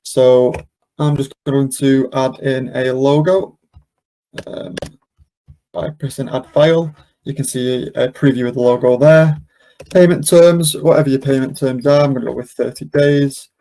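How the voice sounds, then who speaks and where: Male webinar host, mid-pitched and relaxed in tone, explaining software steps in an informal, unscripted way. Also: measured pace, poor audio. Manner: casual, step-by-step explaining.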